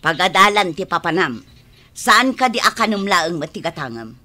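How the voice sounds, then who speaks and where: Woman as a sharp, bright nagging mother. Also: on the low side, big pitch swings, normal speed. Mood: exasperated, scolding. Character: exasperated, nosy, scornful middle-aged village mother. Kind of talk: radio drama acting.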